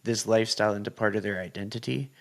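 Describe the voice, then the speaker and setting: A man's voice: on the low side, fairly even intonation, quick pace, vocal fry. A young man hosting a podcast, chatting enthusiastically and peppering his talk with "like". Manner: enthusiastic, uses "like" a lot. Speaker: podcast host. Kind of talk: casual interview chat.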